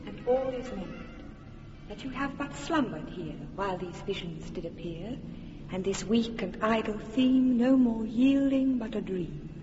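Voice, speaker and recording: Playful sprite, slow and coaxing, hissy old radio sound. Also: female voice, on the high side. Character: mischievous sprite speaking the closing epilogue. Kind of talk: old radio verse drama. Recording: dull old radio recording in a reverberant space.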